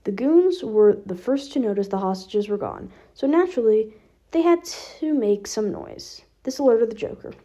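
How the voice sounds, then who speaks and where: A woman's voice: on the high side, expressive, moderate pace, dark tone. A woman reading fan fiction aloud as scripted narration. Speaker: podfic reader.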